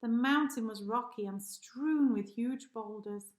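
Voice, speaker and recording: A woman's voice: a little high, unhurried, darkish tone. A woman reading a rhyming children's story aloud expressively, like a teacher addressing pupils. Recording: echoey room.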